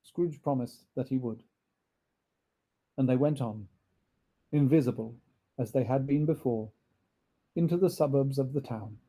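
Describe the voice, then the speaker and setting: Very mellow, husky man narrating a storybook. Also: mid-range voice, measured pace, long pauses. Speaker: audiobook reader, narrator.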